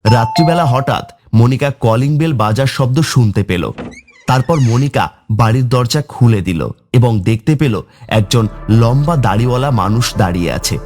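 A man's voice: medium pitch, animated, moderate pace, music in the background. A man narrating a scripted horror story for a cartoon.

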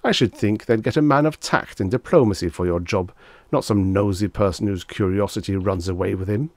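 A man's voice: lowish voice, a little fast, a little mellow. A man voicing a brash, sarcastic, easily rattled man in a dramatised story reading, with blustering, exclamatory delivery. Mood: belligerent, scathing.

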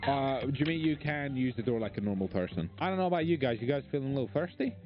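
A man's voice: mid-range voice, expressive, fast, lightly acted. A man playing a hapless, reassuring middle-aged crime-boss father in improvised online game roleplay. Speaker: gamer roleplaying. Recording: dull game audio under loud music.

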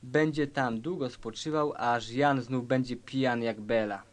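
A man acting a cool, calculating lover who plots crime in a satirical radio play, deliberate and instructing. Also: mid-range voice, steady tone, close to an everyday voice.

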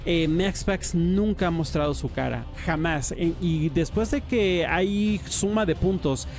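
A man hosting a casual podcast, talking in an animated, enthusiastic way with lots of slang. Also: a little high, measured pace. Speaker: podcast host and StarCraft caster.

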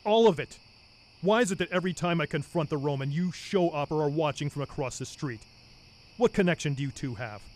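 A man's voice: a little high, brisk, darkish tone, a little hiss or hum, lightly acted. Man playing brooding vigilante, terse, grim, demanding, radio noir narration and dialogue. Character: brooding, driven masked vigilante detective.

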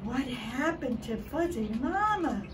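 An older woman reading a children's picture book aloud in an expressive, deliberate way, dramatizing it for young children. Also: high voice. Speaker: early childhood storybook reader. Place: outdoors by a building wall and door. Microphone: camera a short distance away. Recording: distant mic, clear background noise outdoors.

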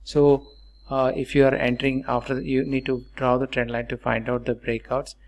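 Guy doing a screen tutorial voiceover, pretty monotone, halting and repeats himself as he explains. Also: medium pitch. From India. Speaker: trading channel host. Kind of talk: screen-recorded chart tutorial narration.

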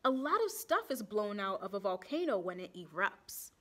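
A woman's voice: mid-range voice, sing-song, moderate pace, voice pushed down. A woman voicing a curious, bookish schoolboy in a children's storybook, emphatic and explaining.

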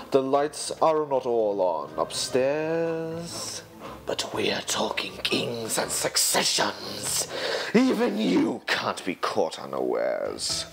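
A man playing a haughty, scheming lion usurper in a theatrical musical song cover, sneering and drawing out his words. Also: medium pitch. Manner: pompous, sneering, drawn-out theatrical delivery. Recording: amateur song cover over backing music.

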